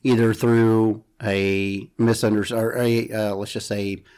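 Casting note: thoughtful man in his thirties, lowish voice, halting podcast guest. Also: little pitch movement, a little mellow, clean tone. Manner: thoughtful, halting with fillers and restarts. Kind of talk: conversational podcast talk.

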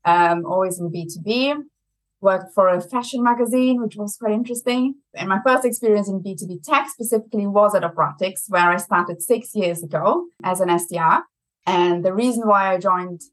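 Woman, German accent, interview guest, lively, laughs a lot, medium pitch. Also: rough recording. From Brazil. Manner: laughs often, chatty and lively. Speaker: marketing executive guest. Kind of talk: conversational interview.

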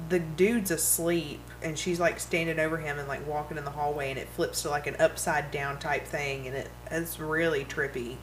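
A woman co-hosting a casual podcast, explaining things in a relaxed way with lots of "like" and casual swearing. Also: low-pitched, moderate pace, few pauses, a little hiss or hum, poor audio. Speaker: podcast co-host. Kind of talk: casual chat.

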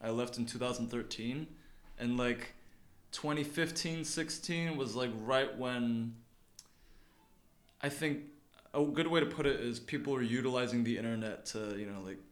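A man's voice: medium pitch, moderate pace. A man in his late twenties co-hosting a podcast at work, rambling through casual chat. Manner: rambling, lots of fillers and restarts. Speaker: podcast co-host. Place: office at work.

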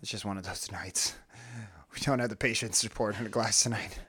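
A man's voice: fairly low pitch, a little fast, husky. A man co-hosting a casual podcast, a teacher chatting and laughing as he tells stories. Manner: laughing, animated storytelling. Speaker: podcast co-host. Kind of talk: casual chat.

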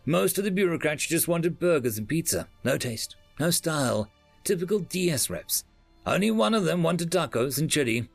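A man's voice: a little high, lively intonation, moderate pace, gravelly, no echo, soft background music, voice pushed up. A man voicing a boastful, chatty male chef who rambles in folksy slang.

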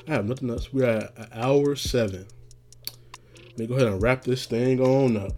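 Solo podcast host, young man, raspy, laid-back and rambling, mid-pitched, light background noise. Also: very animated, unhurried, a little mellow. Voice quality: getting raspy. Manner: rambling, laid-back, slangy, many "you know what I'm saying" fillers. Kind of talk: casual solo podcast monologue.

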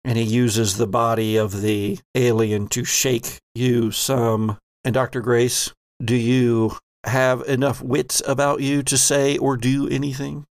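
A man hosting and narrating a tabletop horror game in a measured, theatrical way. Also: medium pitch, little pitch movement, no echo. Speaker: game master and podcast host.

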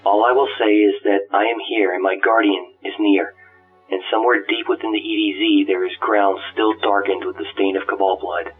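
A man voicing a grave ghost guardian who recounts violent battles and survival in solemn, deliberate dramatic narration. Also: lowish voice, level tone, close to an everyday voice. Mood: grim, restrained. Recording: tinny, band-limited audio under music. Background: music.

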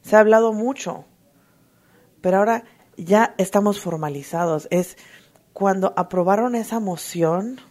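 A woman's voice: mid-range voice, darkish tone. Earnest woman sharing her recovery story. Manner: emotional, earnest, halting with restarts. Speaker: twelve-step fellowship member sharing. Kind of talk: personal testimony at a recovery meeting.

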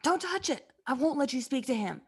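Female performer as sarcastic, bossy young female companion, high voice, exasperated, comic radio play. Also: sing-song, brisk, a little mellow, slightly rough, exaggerated voice. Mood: furious. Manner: sardonic, bossy. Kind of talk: comedic take on radio drama.

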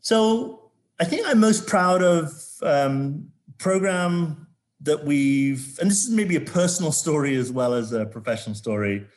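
A man from Zambia, a corporate sustainability executive, talking as an interview guest with a halting delivery full of restarts. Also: fairly high pitch, animated, a little mellow. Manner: halting, many restarts and fillers.

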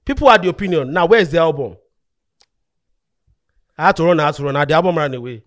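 A man's voice: a little high, expressive, fast talker, no echo, studio quality. Insistent man ranting on a podcast. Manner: emphatic, insistent, repeats himself. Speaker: podcast host. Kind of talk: heated podcast debate.

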